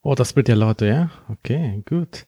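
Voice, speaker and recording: A man's voice: mid-range voice, animated, normal speed, mellow, no room sound, clean studio sound. A man interviewing a guest in a hesitant, conversational way with many fillers.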